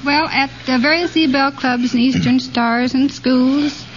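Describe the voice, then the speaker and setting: A woman's voice: high-pitched, steady tone, measured pace. A woman from Missouri answering a host conversationally as a contestant on a 1940s radio quiz show. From Kansas City, Missouri, United States. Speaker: game show contestant, mind reader. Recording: dull old radio recording, noise, faint audience.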